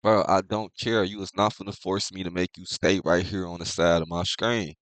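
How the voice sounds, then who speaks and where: Young male host, low congested voice, relaxed slangy delivery, dry close sound. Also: normal speed, no echo. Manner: laid-back slangy talk, heavy profanity. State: congested, clearing his throat. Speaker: manga review host. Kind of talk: casual chat.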